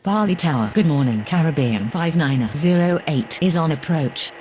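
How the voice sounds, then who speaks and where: A woman's voice: deep voice, expressive, normal speed, a little mellow. A woman voicing a composed, formal air traffic radio operator in a game, using clipped phraseology.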